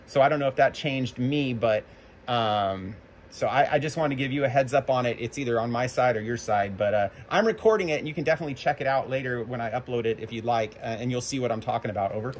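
An American man chatting casually as a ham radio operator and video host, halting and informal. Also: medium pitch. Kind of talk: casual ham radio chat and vlog narration. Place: covered backyard patio, outdoors. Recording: faint outdoor background noise.

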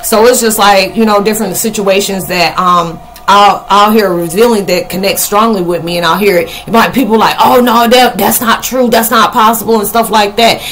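A middle-aged American woman talking casually to camera in a rambling, conversational monologue with halting asides. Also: mid-range voice, a bit bright, low-quality recording. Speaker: spiritual vlogger. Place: living room, indoors.

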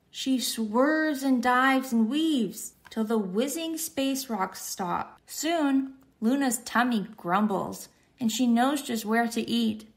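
A woman reading a children's story aloud in an expressive, deliberate storytelling manner. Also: on the high side.

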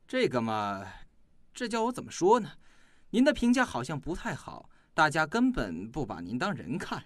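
A man's voice: a little high, wildly swooping pitch, normal speed, no room sound. An actor playing a sly, fawning henchman and shady informant, glib and wheedling, in an audio drama. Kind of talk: Chinese audio drama acting.

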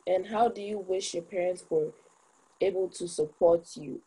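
A teenage girl hosting an interview on a video call, asking questions calmly. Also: lowish voice, moderate pace, slightly rough, poor audio. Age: teens. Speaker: guest host. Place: small room indoors. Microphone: phone on video call.

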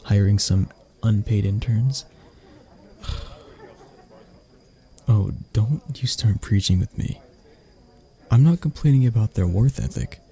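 A man playing an arrogant, grumpy CEO with a hidden anxious side in an ASMR roleplay, curt and sardonic, with a breathy, gravelly voice. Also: fairly low pitch, slow pace, pauses often, dark tone. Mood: irritated, sardonic. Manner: curt, sardonic, stammering when flustered. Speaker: voice actor. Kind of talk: ASMR roleplay audio drama.

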